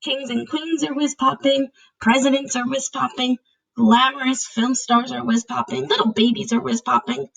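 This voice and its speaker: Woman as a polite, curious girl, slightly raised pitch. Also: flat delivery, moderate pace, vocal fry, fairly bright tone, slightly rough, full character voice, rough recording. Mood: polite explaining. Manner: polite, questioning.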